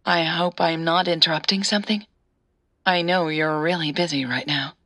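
A woman voicing a polite, hesitant, apologetic female phone caller in an audio drama, speaking softly. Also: low-pitched, steady tone, normal speed, dry recording, clean studio sound. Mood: tentative. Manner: hesitant, apologetic, soft-spoken.

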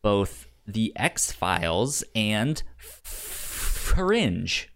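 A man co-hosts a casual podcast in a fairly low voice. He talks slowly and haltingly, often repeating the first sounds of a word before getting it out. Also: very animated, fairly bright tone. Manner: halting, stammers over word starts. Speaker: podcast co-host. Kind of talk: casual chat.

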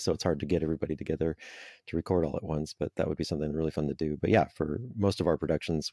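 A man who is an audio drama producer and voice actor, chatting casually in an interview in a quick, self-deprecating way. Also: very deep voice, warm tone, no room sound, studio quality.

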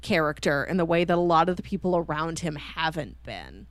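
A woman's voice: low voice, expressive, a little fast, no room sound. Reflective woman, close dry recording. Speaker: podcast co-host. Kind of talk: casual book discussion chat.

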